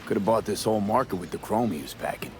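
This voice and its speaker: An actor playing a cool investigator in a video game, with a clipped, matter-of-fact way of talking. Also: male voice, fairly low pitch, quick pace, slight background noise, natural voice. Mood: businesslike. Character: cool, blunt male investigator questioning witnesses. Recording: music behind the voice.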